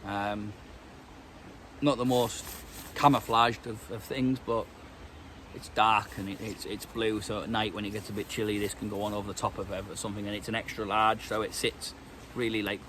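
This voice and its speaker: A man's voice: lowish voice, flat delivery, normal speed, fairly bright tone. Stuffy british guy with a cold, rambling vlog chat, lots of ums, bit of outdoor noise. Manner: rambling, many ums and restarts. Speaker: vlogger. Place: outdoors in woodland.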